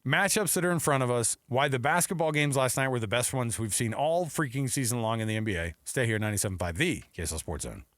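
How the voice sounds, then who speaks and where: A man's voice: mid-range voice, fast talker, few pauses, fairly bright tone. An American man hosting sports talk radio with casual, chatty banter that jumps off the cuff between thoughts. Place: radio studio.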